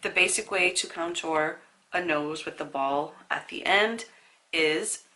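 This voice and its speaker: A woman's voice: deep voice, a little slow, bright, forceful tone, low-quality recording. A young woman with a stuffy nose from a sinus infection, chatting casually through a makeup tutorial with asides to the viewer. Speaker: beauty vlogger.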